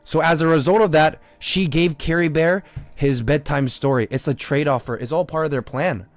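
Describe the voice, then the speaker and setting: A man's voice: fairly high pitch, normal speed, dry recording, soft background music. A man live streaming a game with unscripted commentary, arguing insistently, repeating himself and swearing casually. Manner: argumentative, repetitive, swears casually.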